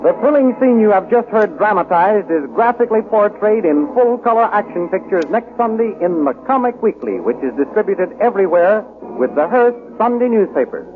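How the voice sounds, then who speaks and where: A man's voice: sits high, lively intonation, moderate pace, faint music behind. A man reading a scripted promotion as a formal, declarative 1930s radio announcer. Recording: tinny, noisy old radio recording.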